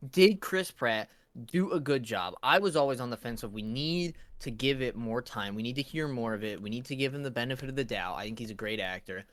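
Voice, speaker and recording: A man co-hosting a casual review chat, supportive and a little halting as he puts questions. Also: mid-range voice, lively intonation, a bit bright, dry recording. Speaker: co-host asking the questions.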